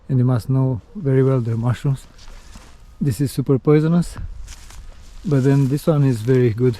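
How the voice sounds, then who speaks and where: Mellow-voiced man vlogging outdoors. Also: mid-range voice. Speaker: travel vlogger. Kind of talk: casual outdoor vlog talk to camera. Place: outdoors by a forest.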